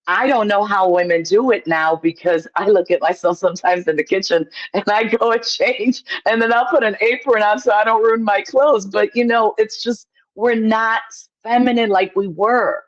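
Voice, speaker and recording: A woman's voice: medium pitch, normal speed, hardly any pauses, dull audio. A woman from Poland, chatting casually as an opinionated call-in guest, emphatic and repeating herself to make a point.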